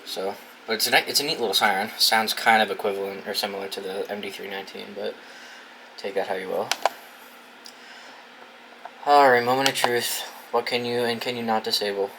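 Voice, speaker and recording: A young American man with a fairly low voice talks through a gadget setup in a loose, informal way, thinking aloud and swearing freely. The recording has clear noise behind him. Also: fairly even intonation, fairly quick, long pauses. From New England, United States. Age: young adult. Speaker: video host reviewing a gadget. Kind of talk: casual unboxing and setup commentary. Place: dorm room.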